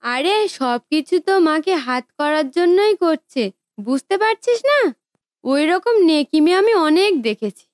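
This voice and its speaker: A woman's voice: high voice, sing-song, a little slow, no echo, studio quality. A woman playing a resentful, scheming married sister in a cartoon family drama, snide and insistent.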